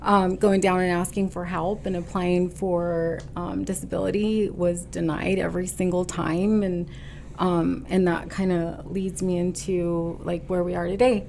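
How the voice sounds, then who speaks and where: Woman, podcast guest. Low, gravelly voice, earnest and halting delivery. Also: flat delivery, a little mellow, slight background noise. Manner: halting, earnest storytelling with many fillers; stubborn, determined. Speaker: podcast guest, boutique owner. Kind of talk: casual conversational interview.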